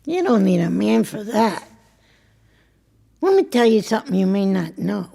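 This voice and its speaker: An actress playing a blunt, worldly older married woman in an intimate audio drama, with a husky, creaky voice and a wry, halting manner. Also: medium pitch, big pitch swings, dark tone, full character voice. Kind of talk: intimate two-person audio drama acting.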